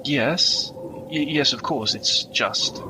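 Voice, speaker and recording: An actor playing a nervous, polite monk in a comic fantasy audio drama, speaking haltingly. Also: male voice, mid-range voice, background noise, natural voice. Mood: hesitant, uneasy. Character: nervous, hesitant monk in a bleak afterlife.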